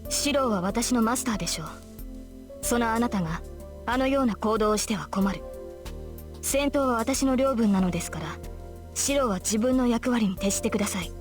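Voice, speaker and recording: Casting: actress as young lady knight for anime game, stern, formal, fast-spoken, slightly high voice, faint music behind. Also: lively intonation, lightly acted. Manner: formal, composed, matter-of-fact. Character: dignified, stern young female knight servant in fantasy game. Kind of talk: Japanese anime-style game voice acting.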